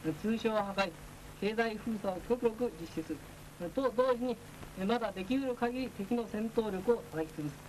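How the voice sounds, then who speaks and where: A man's voice: high voice, normal speed. A man giving a formal military lecture as a naval instructor, stern and declamatory, with frequent hesitation sounds. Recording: noisy 1940s film soundtrack.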